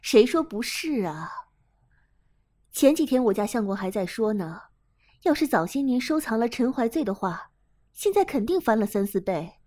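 A woman playing an agreeable, chatty young married gentlewoman in a Chinese period radio drama. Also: on the high side, very animated, moderate pace.

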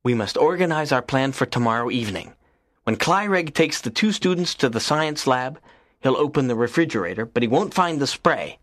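An actor playing a lonely, earnest teenage schoolboy in an audiobook, talking in a soft, low, pleading way. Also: male voice, lively intonation, normal speed, no room sound, studio quality, lightly acted. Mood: calm, planning. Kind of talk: audiobook character reading.

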